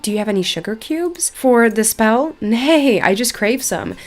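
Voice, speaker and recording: A woman's voice: a little high, animated, measured pace, soft background music. A woman voicing a vain, peevish witch trapped in a horse's body, reading game dialogue in a theatrical, haughty way.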